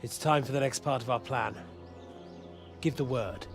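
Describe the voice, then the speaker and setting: Male actor as vengeful game villain, creaky, very unhurried, threatening, clear music behind. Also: mid-range voice, close to an everyday voice. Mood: cold menace. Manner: slow, threatening. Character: menacing, vengeful scheming medieval villain. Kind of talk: dramatic video game acting.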